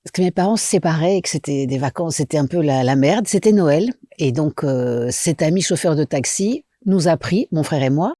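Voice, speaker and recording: A middle-aged woman from Belgium, an actress and director, chatting lively in an interview with many fillers and restarts. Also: sits low, moderate pace, no echo, studio quality. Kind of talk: casual interview chat.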